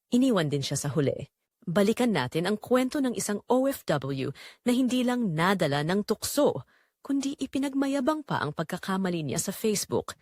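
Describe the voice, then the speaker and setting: A woman's voice: mid-range voice, no room sound, studio quality. A woman with a Filipino accent narrating a scripted dramatic story as a storyteller.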